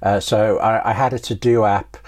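A man's voice: fairly low pitch, steady tone, moderate pace, darkish tone. A British man talking casually as an interview guest, a talkative writer who interrupts himself as he tells anecdotes. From United Kingdom. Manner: talkative, self-interrupting storyteller.

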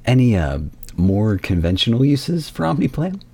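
A man's voice: medium pitch, very animated, moderate pace, creaky, a little mellow, breathy edge, no echo. A man hosting a conversational podcast interview, phrasing questions and remarks in a wordy, considered way. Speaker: interview host.

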